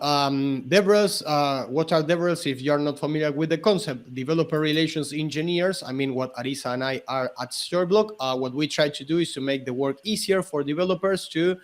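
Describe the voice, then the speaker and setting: A man co-hosting a casual developer livestream, explaining in a halting way with frequent restarts. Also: a little high. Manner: halting, restarts and repeats words often. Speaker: developer relations engineer, co-host.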